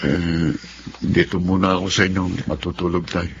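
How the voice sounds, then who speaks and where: Actor as resentful Filipino husband, low voice, short-tempered, radio drama, noisy muffled recording. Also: male voice, little pitch movement, normal speed, lightly acted.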